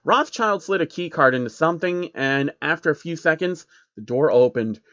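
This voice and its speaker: A man's voice: fairly high pitch, lively intonation, moderate pace, fairly bright tone, dry recording, lo-fi sound. A man reading out a comic story as scripted narration.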